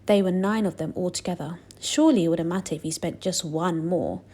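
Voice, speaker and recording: A woman narrating a children's book aloud in an expressive, deliberate storytelling style. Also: fairly low pitch.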